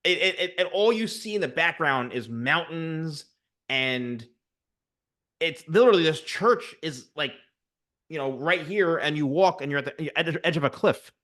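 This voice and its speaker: A man in his forties, hosting an interview and telling stories in a chatty, animated way. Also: a little high, measured pace. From United States. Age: 40s. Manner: chatty, animated storyteller, many fillers. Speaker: host, barber coach. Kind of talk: casual interview chat.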